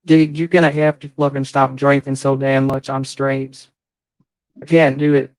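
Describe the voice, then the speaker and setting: A man's voice: medium pitch, level tone, moderate pace, darkish tone, no echo. A man in a casual livestream chat, hosting and speaking in a profane, aggrieved, defensive way. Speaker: livestream host.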